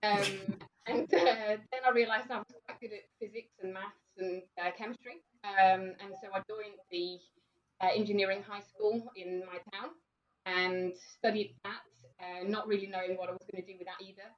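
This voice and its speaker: A woman's voice: mid-range voice, fairly even intonation, normal speed. A woman from Denmark, a podcast guest in her thirties, chatting casually with lots of ums and laughs. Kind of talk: casual conversation. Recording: dull lo-fi sound.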